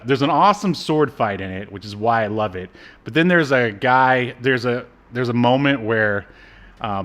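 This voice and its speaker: A man's voice: mid-range voice, fairly bright tone. A man hosting a podcast, chatting casually and playfully about pop culture, with some chuckling in his delivery. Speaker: podcast host. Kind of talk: casual banter about old cartoons.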